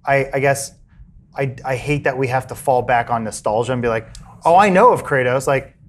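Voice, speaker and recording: A man's voice: mid-range voice, normal speed. A young man hosting a podcast, chatting casually and jokily about a video game, often trailing off and restarting his sentences. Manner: rambling, self-interrupting, jokey. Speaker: podcast host. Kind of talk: casual chat.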